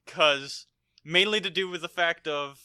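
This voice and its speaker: A man co-hosting a casual podcast, blunt, argumentative and quick to swear. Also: on the high side, sharp tone, clean tone. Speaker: podcast co-host. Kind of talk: casual chat, movie review.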